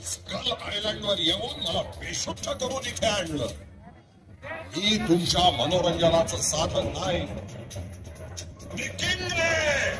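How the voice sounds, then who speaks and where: Slow, wrathful giant gorilla king. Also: high-pitched, sing-song, heavily acted. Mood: furious, commanding. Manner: slow, defiant, declamatory. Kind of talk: theatrical stage drama acting. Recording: loud music and crowd behind.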